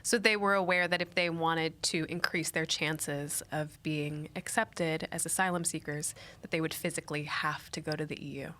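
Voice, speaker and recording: A woman's voice: low voice, fairly even intonation, moderate pace, few pauses, sharp tone. A woman hosting a studio interview, asking curious, probing follow-up questions. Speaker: show host.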